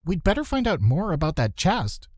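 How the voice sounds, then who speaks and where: A man's voice: high voice, wildly swooping pitch, normal speed, dry recording, clean studio sound, pitched above the performer's own voice, heavily acted. A man voicing a bossy, snide young girl in a children's storybook, with a sneering, commanding manner.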